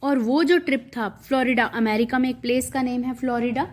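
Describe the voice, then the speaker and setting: A woman teacher, reading lines slowly and then explaining them at length. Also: sits high, fairly even intonation. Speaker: English teacher. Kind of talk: explaining an English text in Hindi.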